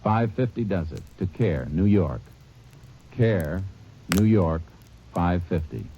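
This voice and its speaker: Man, deep calm earnest appeal, vintage radio sound. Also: takes it slowly, slight background noise. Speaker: film star giving a radio message. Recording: dull old radio transcription.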